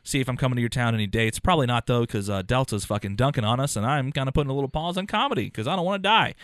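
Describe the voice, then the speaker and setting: A man's voice: mid-range voice, animated, rapid-fire, fairly bright tone, dry recording, studio quality. A man co-hosting a podcast, rambling through plugs and jokes with a self-deprecating streak. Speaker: podcast co-host. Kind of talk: casual podcast banter.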